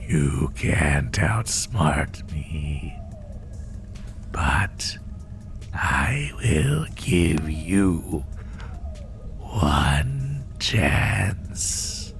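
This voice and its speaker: Man acting as a sadistic monster hunter, rock-bottom pitch, very slow delivery, breathy half-whisper, menacing taunts, horror roleplay, music behind. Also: big pitch swings, full character voice. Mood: taunting threat. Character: sadistic male spider monster hunting prey through the woods. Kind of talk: horror roleplay audio, addressing the listener.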